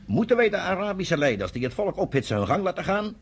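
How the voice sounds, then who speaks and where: A man's voice: high voice, very animated, fast, breathy edge, full character voice. An actor playing a stern chairman of a secret meeting, oratorical and emphatic, in a Dutch radio play. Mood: grave, indignant.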